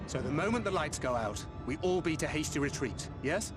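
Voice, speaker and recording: An actor playing a serious, guarded, protective adventurer in a video game, with a terse, dry manner. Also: male voice, a little high, lively intonation, fairly quick, over music, close to an everyday voice. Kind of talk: video game cutscene acting.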